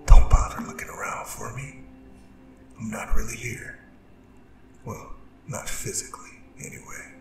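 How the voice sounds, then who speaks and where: A man voicing a seductive, gloating Demon King in an ASMR roleplay, breathy and mostly whispered, taunting and intimate with theatrical drawn-out phrasing. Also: fairly low pitch. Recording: roomy distant echo, music underneath. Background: music.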